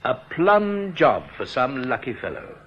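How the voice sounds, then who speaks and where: An actor playing a genial but evasive English bank executive in a radio play, patronising, persuasive and given to tactful hesitation. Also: male voice, mid-range voice, wildly swooping pitch, a little slow. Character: smooth, evasive, genial male bank executive, senior boss. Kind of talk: British radio play acting. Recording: dull, hissy old radio recording.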